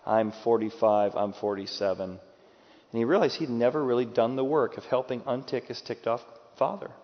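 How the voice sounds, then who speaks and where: Man, preacher, sermon, dramatic swings from loud to hushed, long hall reverb, dull muffled sound. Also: mid-range voice, normal speed. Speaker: pastor.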